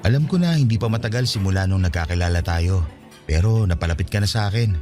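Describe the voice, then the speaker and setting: An actor playing an earnest young man who gently and sincerely asks a coworker for a date. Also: low-pitched, fast talker, faint music behind, natural voice. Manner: sincere, gentle, openly affectionate. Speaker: radio drama actor. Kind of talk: radio drama acting.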